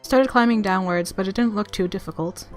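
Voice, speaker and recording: A woman streamer reading a visual novel aloud with expressive story narration and casual asides. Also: medium pitch, fairly quick, soft background music.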